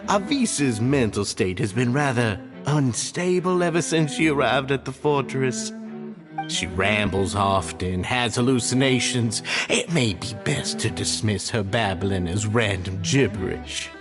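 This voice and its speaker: An actor playing a smooth-talking man who is overly courteous and falsely polite. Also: mid-range voice.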